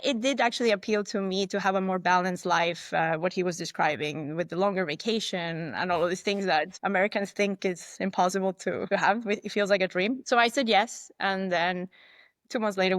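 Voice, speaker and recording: Non-native english speaking woman, thoughtful and candid, mid pitch, dry close mic. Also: fairly bright tone, no echo. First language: not English. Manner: candid, thoughtful, frequent restarts and self-quotes. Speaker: interview guest. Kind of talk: reflective conversational storytelling.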